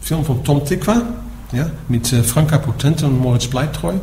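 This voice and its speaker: A man's voice: fairly high pitch, moderate pace, warm tone. A man from the Netherlands with a Dutch accent, teaching Buddhism in German, explaining patiently and checking in with "ja?" after each point. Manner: explaining, asks "ja?" after nearly every point. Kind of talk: dharma talk to a group. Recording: echoey room with faint background noise.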